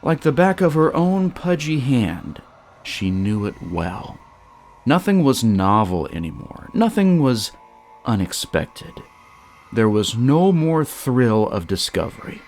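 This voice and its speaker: Male story narrator: unhurried, theatrical delivery with dramatic pauses and lively melodic swings. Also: medium pitch, faint music behind. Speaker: storyteller and show creator.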